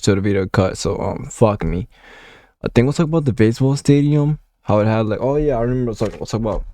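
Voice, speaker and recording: Young male vlogger, 19, from Puerto Rico; warm, relaxed delivery, casual and profane, dry close recording. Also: fairly low pitch, no room sound. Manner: rambling, swears a lot, many fillers. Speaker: gaming content creator. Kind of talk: casual storytelling vlog.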